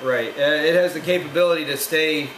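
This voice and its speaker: A man's voice: fairly high pitch, a little fast, fairly bright tone. An American man in his thirties, a military aircraft maintainer, giving informal, plain-spoken explanations in an interview. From Rochester, New York, United States. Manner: plain-spoken, explanatory, frequent you-knows. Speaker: military aircraft maintainer, demo team chief. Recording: echoey room with clear background noise.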